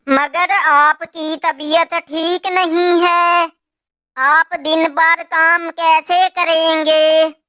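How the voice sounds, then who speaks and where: A worried, soft-hearted mother crow from a cartoon fable , played by a woman. Her voice is high and bright. She talks very slowly and dutifully, as a caring wife. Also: little pitch movement, dry recording, studio quality, heavily acted. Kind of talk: Hindi cartoon moral story dubbing.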